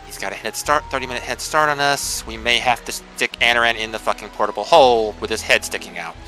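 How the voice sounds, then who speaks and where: A man with a very sharp, bright voice and middling pitch banters casually in character during a tabletop game. Faint music sits behind him. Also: quick pace, rough recording. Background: background music.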